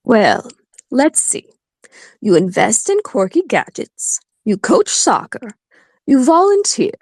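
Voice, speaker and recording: An actress playing a quick-witted lawyer friend in an audio play, teasing and expressive. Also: female voice, a little high, husky, no room sound, exaggerated voice.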